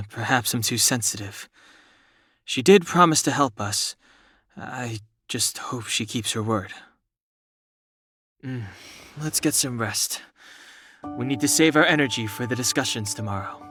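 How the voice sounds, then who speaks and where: Calm, serious young game hero. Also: male voice, mid-range voice, husky, no echo, clean studio sound, soft background music. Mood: pensive. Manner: measured, sober, understated. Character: calm, serious, guarded young male traveler. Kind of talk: restrained video game voice acting.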